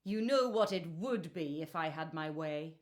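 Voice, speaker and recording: A woman's voice: low voice, lively intonation, normal speed. An actress playing a haughty, vindictive queen in a biblical radio drama, speaking with imperious scorn. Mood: scornful, threatening.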